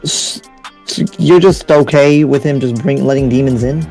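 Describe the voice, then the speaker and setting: Man playing a dark-voiced, halting, paranoid demon slayer. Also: natural voice. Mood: uneasy, frustrated. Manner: halting, repeats words, low-key. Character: frustrated, paranoid male demon slayer newcomer. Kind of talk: improvised anime Minecraft roleplay. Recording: slightly echoey room, music behind.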